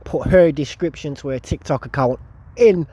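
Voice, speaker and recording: A man's voice: fairly high pitch, moderate pace, dark tone, husky, no room sound, rough recording. A man hosting the channel, giving enthusiastic voice-over commentary between clips. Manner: enthusiastic, hyping up. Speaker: channel host.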